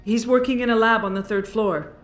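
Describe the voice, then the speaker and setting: An actress playing a wry, guarded female research scientist in a video game, speaking in a measured way. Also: on the high side, fairly even intonation, lightly acted. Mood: guarded, cooperative. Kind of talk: video game cutscene dialogue. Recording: roomy game cutscene with music. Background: background music.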